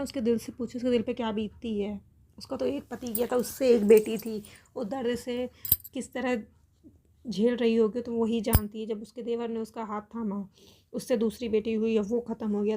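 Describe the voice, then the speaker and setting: A woman's voice: on the high side, level tone, normal speed, dark tone, slight background noise. A woman hosting a reaction video, giving opinion commentary to viewers in an earnest way, repeating phrases for emphasis. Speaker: reaction video host commenting on a family controversy.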